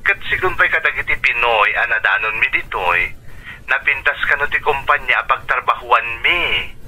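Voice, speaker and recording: A man's voice: mid-range voice, lively intonation, normal speed, sharp tone, slight background noise, rough recording. A man playing a cheerful, reassuring uncle calling from abroad in an Ilocano radio drama, through a telephone filter.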